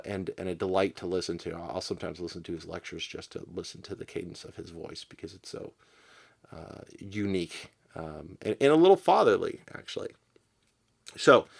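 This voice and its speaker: A man presenting a literary podcast in an unscripted, thoughtful way, halting as he searches for his words. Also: on the low side, gravelly. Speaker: podcast presenter and poetry reader. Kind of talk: casual literary commentary, unscripted.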